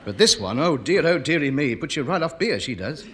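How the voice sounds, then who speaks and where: A man's voice: a little high, big pitch swings, fairly quick, background noise. A man co-hosting a podcast, reading lines from an old radio comedy script aloud and acting them out. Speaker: podcast co-host.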